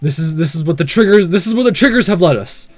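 High-pitched man doing chatty game commentary. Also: expressive, darkish tone, no room sound, faint music behind. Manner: halting, chatty, addresses viewers. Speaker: gaming channel host. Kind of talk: casual let's-play commentary.

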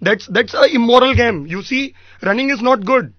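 Man acting high-voiced, badgering irate Indian vendor. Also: very slow, no echo, heavily acted. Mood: mocking, badgering. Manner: badgering, repetitive, accusatory. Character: irate pushy male vendor demanding overdue payment. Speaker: prank caller. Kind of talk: prank phone call. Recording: dull lo-fi radio recording.

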